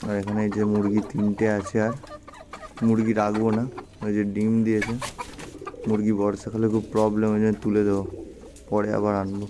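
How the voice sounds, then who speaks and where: Low, flat-voiced man vlogging outdoors. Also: fairly quick, pauses often. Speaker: pet vlogger showing his pigeon loft. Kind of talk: casual narrated walk-through vlog. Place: outdoor pigeon loft and garden. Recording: noisy outdoor recording with cooing pigeons and birds. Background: cooing pigeons, birdsong, ambient noise.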